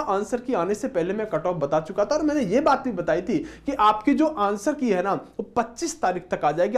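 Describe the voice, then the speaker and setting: A man speaking as an exam coaching teacher, explaining informally to students and often addressing them as friends. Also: high-pitched. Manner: repeatedly addresses listeners as friends.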